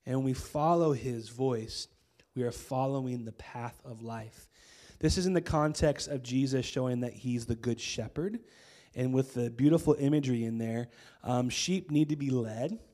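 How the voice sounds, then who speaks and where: A man in his thirties with an American accent preaching a sermon on a church stage into a handheld mic, his voice hoarse from a cold, casual and conversational with many fillers. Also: mid-range voice, moderate pace, dark tone. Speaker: pastor. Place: church stage with string lights.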